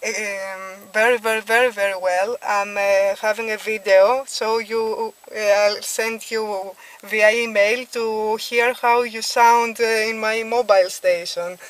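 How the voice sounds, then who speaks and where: Halting Greek-accented woman on ham radio. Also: medium pitch, steady tone, low-quality recording. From Greece. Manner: halting, searching for words. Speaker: amateur radio operator. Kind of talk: ham radio contact, conversational. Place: inside a car at night.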